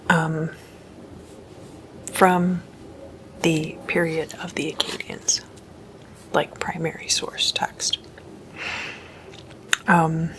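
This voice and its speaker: A woman doing whispered ASMR as a host, hushed and deliberate, mostly in breathy, unvoiced whisper. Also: on the low side, a little hiss or hum, low-quality recording. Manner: slow, hushed, deliberate delivery.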